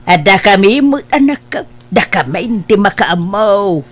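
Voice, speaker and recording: A doting mother of a grown son acted by a woman in an Ilocano family drama. She speaks slowly and tenderly, with an Ilocano accent and a middling pitch. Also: lively intonation. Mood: gentle, supportive. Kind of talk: Ilocano radio-style family drama acting.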